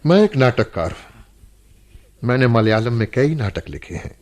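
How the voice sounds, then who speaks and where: An earnest, animated, probing playwright. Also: male voice, medium pitch. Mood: polite, curious. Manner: emotional, insistent, dramatic pauses and repetitions. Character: earnest, probing male playwright visiting a prison. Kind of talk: radio drama acting.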